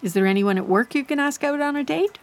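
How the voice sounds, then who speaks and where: Anxious, chattering middle-aged mother, high-pitched. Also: animated, fast. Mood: reassuring, earnest. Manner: fussing, rambling, hesitant when defensive. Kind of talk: naturalistic audio drama dialogue.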